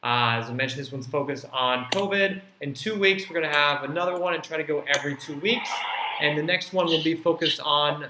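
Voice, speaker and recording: A man's voice: a little high, brisk. A man hosting and moderating an online panel, explaining in a loose way with plenty of fillers. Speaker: host and platform founder. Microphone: video call. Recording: echoey room with faint noise.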